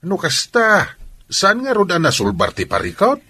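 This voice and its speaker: A man playing a friendly, concerned in-law with a raspy voice in a radio drama. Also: high voice, wildly swooping pitch, moderate pace, sharp tone, full character voice. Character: friendly, concerned in-law bringing vegetables to the family. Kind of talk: radio drama acting in dialogue.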